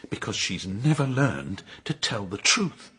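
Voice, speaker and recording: An actor playing a cold, sardonic, jealous nobleman in a period radio drama, sneering and theatrical, with a raspy voice. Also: fairly low pitch, big pitch swings, unhurried, fairly bright tone, exaggerated voice. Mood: suspicious, menacing. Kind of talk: period radio drama acting, narration and dialogue.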